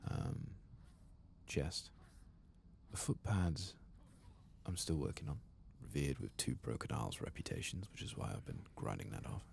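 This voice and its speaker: A man giving soft-spoken ASMR game commentary in a gentle, murmuring voice that often drops to a breathy half-whisper. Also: extremely low pitch, sing-song, measured pace, slight background noise. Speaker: ASMR gaming channel host.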